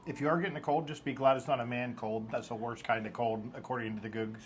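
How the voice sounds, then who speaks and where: A man with a mid-range voice tells travel stories in a laid-back, joking way, filling pauses with uhs. Faint background ambience runs under his voice. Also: medium pitch, slight background noise. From American Midwest. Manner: joking, relaxed anecdotes with acted quotes. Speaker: travel vlog co-host. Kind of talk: casual travel vlog storytelling.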